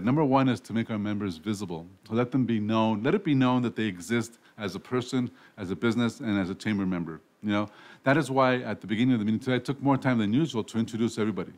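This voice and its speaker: A man hosting a casual radio interview show, rambling with frequent restarts and self-corrections. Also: lowish voice. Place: radio studio.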